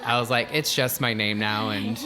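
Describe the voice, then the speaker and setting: Ein Mann als Gast im Interview, der locker und verspielt über seinen Weg zum Poledance erzählt und oft über sich selbst lacht. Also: male voice, lowish voice, fairly even intonation, moderate pace, loud music behind the voice. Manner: playful, self-mocking, laughs often. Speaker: pole dancer guest. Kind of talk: casual interview chat.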